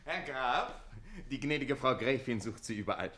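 An actor playing a quick-witted, scheming young servant and bridegroom in a German radio play, animated and eager. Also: male voice, medium pitch, a little hiss or hum, close to an everyday voice. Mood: deferential. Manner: urgent, animated.

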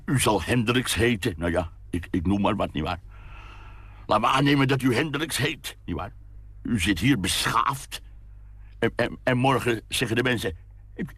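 A rambling old pub cadger, performed by a male reader in a storybook tale. He stammers and repeats himself in a low, creaky voice. The sound is close and very dry. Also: a bit bright, dry recording, full character voice. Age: older. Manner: stammering, repetitive, chuckling rambler. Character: rambling, trembling old man cadging in a pub.

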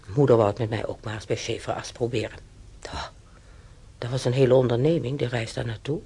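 A man's voice: mid-range voice, fairly even intonation, a little fast, vocal fry, mellow, husky. An actor in a radio play voicing a chatty, stubborn old German-born man who rambles through his memories with hesitations. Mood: earnest reminiscing. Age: older. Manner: rambling, reminiscing, with hesitations and tag questions. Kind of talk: conversational radio play acting.

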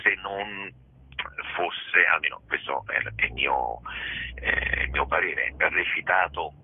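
A man, a theatre director and actor, explaining a play in a radio interview, pausing often to search for words, heard over a phone line. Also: rumbling low, breathy edge. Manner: searching for words, frequent pauses and hedges.

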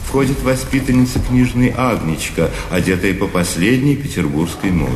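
A man's voice: fairly low pitch, expressive, moderate pace, background noise. A man narrating stage directions for an audio play in a scripted, theatrical presentation. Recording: roomy sound, loud music behind. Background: loud orchestral music.

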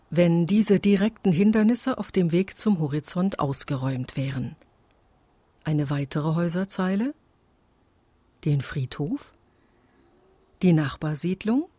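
A performer in a radio play as a calm instructor guiding listeners through an imagination exercise, measured and deliberate. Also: medium pitch, husky, no room sound. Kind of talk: slow, scripted instructional radio-play narration.

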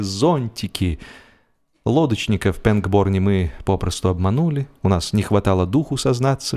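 A man narrating a humorous story as scripted audio drama, with expressive, measured, theatrical phrasing. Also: medium pitch. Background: music.

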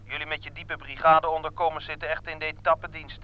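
A strained front-line soldier reporting by field telephone in a radio play. His voice is high for a man and sounds muffled, as on an old band-limited recording. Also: little pitch movement, fast talker. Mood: worried. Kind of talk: Dutch radio drama acting.

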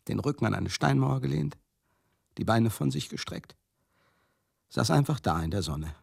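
An actor playing a world-weary man in a radio play, confiding a painful memory bitterly and tenderly in halting, repetitive, conversational phrases, with a husky voice that often drops to a murmur. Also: medium pitch, darkish tone, no echo, clean studio sound, heavily acted. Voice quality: gravelly, husky, often dropping to a breathy murmur. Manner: halting, repetitive, conversational, addressing listeners directly. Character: Teddy, world-weary male touring companion recalling a tragedy, bitter and tender. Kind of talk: radio play monologue, intimate confessional storytelling.

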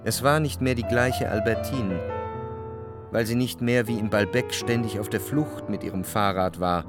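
A man's voice: on the low side, lightly acted. Brooding young man narrating over music as an actor. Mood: brooding, melancholy. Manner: reflective, measured, introspective. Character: indecisive, brooding young man reflecting on his love. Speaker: first-person narrator in a radio drama. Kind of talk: literary radio drama narration. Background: instrumental music.

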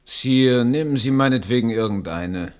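A man's voice: medium pitch, moderate pace, smooth, close to an everyday voice. An actor playing a blunt, stern royal minister in a radio drama, speaking formally and firmly as he admonishes. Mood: admonishing, grave.

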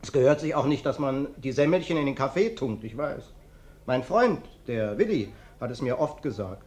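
An actor playing an uncouth, sly vagrant guest who acts humble and self-pitying, in a German radio play. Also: male voice, mid-range voice, lively intonation, normal speed, a little mellow, close to an everyday voice. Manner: plaintive, falsely humble, insistent.

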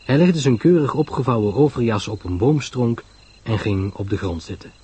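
A man's voice: medium pitch, moderate pace, warm tone, slightly rough. A man narrating a mystery story in a dramatic, scripted reading style.

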